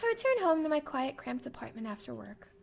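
A woman streamer reading a visual novel aloud as first-person narration, expressive and theatrical. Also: high-pitched, a little fast, creaky, a little hiss or hum. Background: game music.

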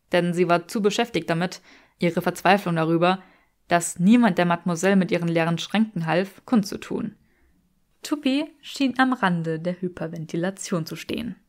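A woman reading scripted fiction aloud as an audiobook narrator. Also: fairly low pitch.

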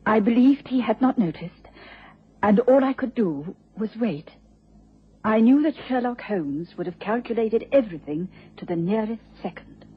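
Radio drama lady: very breathy, highish voice, genteel and worried, dull old recording. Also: animated, normal speed. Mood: troubled. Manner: polite, formal, hesitant. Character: anxious, genteel married lady feigning meekness.

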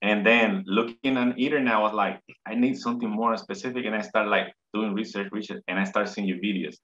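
A man in his thirties from Puerto Rico with a Puerto Rican Spanish accent, a native Spanish speaker telling his story in a casual video call interview, halting and repeating words. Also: lowish voice, steady tone, fairly bright tone. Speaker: interview guest. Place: home room, indoors. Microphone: video call webcam. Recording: dull lo-fi video call audio.

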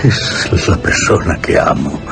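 A man playing an anguished young gentleman in a gothic radio drama, halting and overwrought. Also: low-pitched, animated, gravelly, heavily acted. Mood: delirious pleading. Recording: radio drama with loud music behind.

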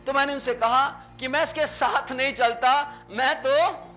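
A man in his thirties preaching a sermon on a small indoor stage, acting out conversations with lively gestures. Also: very high-pitched, measured pace, faint music behind. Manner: animated preacher, acts out dialogue with sweeping gestures. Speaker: pastor.

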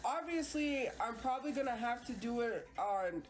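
A woman's voice: on the high side, expressive, normal speed, slight background noise. A woman streaming live from home with a congested nose, chatting in a rambling, hesitant way with many restarts. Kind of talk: casual livestream chat. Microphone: phone.

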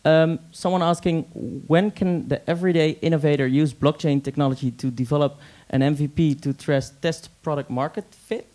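A man's voice: fairly high pitch, steady tone, normal speed, few pauses, warm tone. A man moderating a panel on stage, asking questions hesitantly into a handheld mic. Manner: hesitant, many fillers and restarts in questions. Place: stage in a meeting hall with audience.

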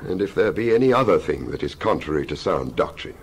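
A man giving a dramatized scripture reading as a Bible narrator, solemn and emphatic, with measured oratorical phrasing. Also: low voice, sing-song, slight background noise. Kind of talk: dramatized scripture reading, King James English.

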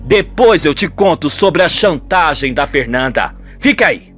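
A man playing a smooth, deceitful adulterous lawyer in a radio soap, glib and self-assured. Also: a little high, animated, unhurried, fairly bright tone, faint music behind. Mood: cool. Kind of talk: radio soap opera acting.

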